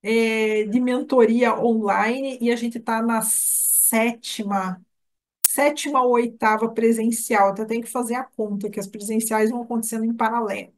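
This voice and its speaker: Higher-pitched Brazilian woman, chatty. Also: steady tone, normal speed. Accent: Brazilian Portuguese. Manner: chatty, addresses listeners by name. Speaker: dental course instructor. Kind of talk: informal live webinar talk to attendees.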